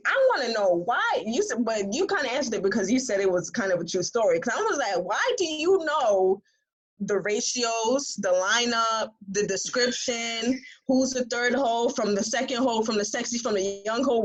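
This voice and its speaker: A woman's voice: a little high, expressive, normal speed, husky, poor audio. A woman in a casual book discussion, talking in slangy, conversational speech and acting out imagined reactions. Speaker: author and reader on a book discussion panel.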